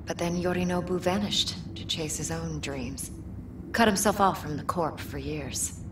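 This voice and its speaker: An actress playing a sultry, manipulative woman fixer in a video game cutscene, speaking slowly and teasingly in a low, breathy, often whispered voice. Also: noisy background, natural voice. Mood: sultry, cool. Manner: slow, teasing, deliberate. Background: music.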